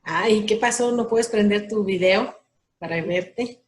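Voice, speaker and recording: A woman's voice: medium pitch, slow pace. A woman leading an online class, politely calling on each participant and thanking them. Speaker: class leader, catechist.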